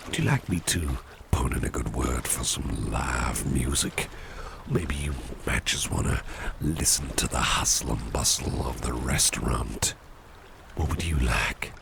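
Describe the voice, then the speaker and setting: Man acting extremely deep, gravelly, genial boss. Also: full character voice. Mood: obliging. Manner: unhurried, coaxing, repeats his phrases. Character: genial, attentive male boss, courting his dinner date. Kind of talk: ASMR roleplay. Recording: clearly noisy background.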